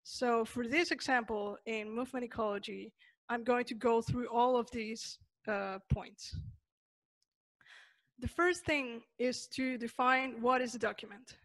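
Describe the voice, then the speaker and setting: A young woman from Peru, a Spanish native speaker with a Peruvian accent, gives an online lecture over slides, pausing often with fillers and restarts. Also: fairly high pitch, fairly bright tone, no room sound, rough recording. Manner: thoughtful, many fillers and restarts. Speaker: webinar presenter.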